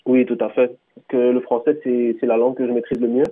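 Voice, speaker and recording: Fast-talking rapper over a phone line. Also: male voice, medium pitch, flat delivery. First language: Tunisian Arabic. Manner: rambling, full of restarts and fillers. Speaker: rapper, interview guest. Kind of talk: radio interview answers.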